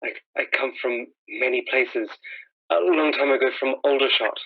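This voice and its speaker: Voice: mid-range voice, normal speed, close to an everyday voice. An actor playing a lost, despairing wanderer in a radio play, hesitant and questioning. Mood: hesitant, weary. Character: lost, despairing wandering survivor seeking people. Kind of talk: dramatised radio play dialogue. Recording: thin telephone-like radio sound.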